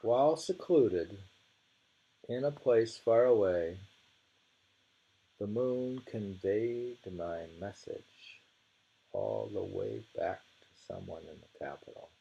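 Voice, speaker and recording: A man with a dark, mellow and gravelly voice reads poetry aloud at an informal pace. He is deliberate with the verse and slow to move on, pausing to muse and wonder about what it means. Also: fairly low pitch, expressive, dull audio. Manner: deliberate delivery when reading, musing and tentative in asides. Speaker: reader of poetry. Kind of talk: reading translated poems aloud with informal commentary.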